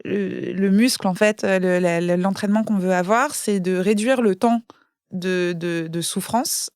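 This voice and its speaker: A woman's voice: fairly low pitch, a little slow, darkish tone, clear, resonant tone, dry recording, studio quality. A woman from France, a guest author, talking through her thoughts in a casual podcast chat, with lots of hesitations and restarts. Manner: thoughtful, many fillers and restarts.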